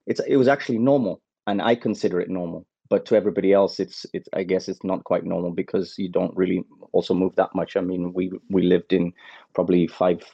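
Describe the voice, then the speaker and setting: Man, low-pitched, halting with fillers, dull lo-fi call audio. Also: steady tone, creaky, mellow, breathy edge, dry recording. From Zambia. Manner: halting, many fillers and restarts. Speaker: guest, former footballer. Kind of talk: casual interview over phone.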